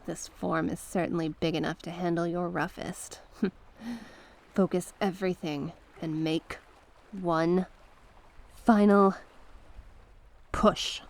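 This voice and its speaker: A woman plays a mysterious sea witch for an ASMR fantasy roleplay. The voice is on the low side and full of gravelly fry, moving very slowly. The witch coaxes and encourages with soothing, formal storybook care, softening at times toward a whisper. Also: steady tone. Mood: urging. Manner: gentle, coaxing, formal storybook phrasing. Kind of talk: ASMR roleplay, slow scripted monologue.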